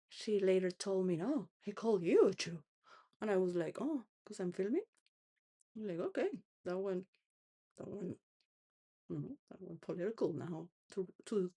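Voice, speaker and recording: A woman's voice: mid-range voice, expressive, normal speed, warm tone, husky. A woman rambling through a personal monologue, full of restarts and wandering thoughts, sometimes laughing between phrases. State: homeless.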